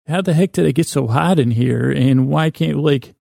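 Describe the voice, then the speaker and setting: A man hosting a bedtime podcast with a creaky voice, rambling in a halting, self-deprecating way that wanders and breaks off mid-word. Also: fairly high pitch, dark tone, no room sound, clean studio sound. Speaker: podcast host. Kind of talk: rambling bedtime monologue to help listeners sleep.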